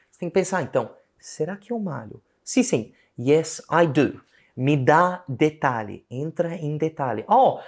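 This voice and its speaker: Australian man, English teacher, speaks Portuguese with a foreign accent, animated delivery, lesson video. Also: on the high side. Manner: animated, switches between Portuguese and slow English examples. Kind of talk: casual online lesson.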